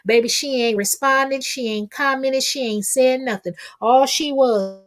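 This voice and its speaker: Sassy lady doing gossip commentary, kinda high voice, folksy and emphatic, says stuff twice for effect. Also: normal speed. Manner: folksy, colloquial, addresses listeners with pet names. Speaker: host of a commentary livestream. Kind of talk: casual reality-TV gossip commentary, talking to viewers.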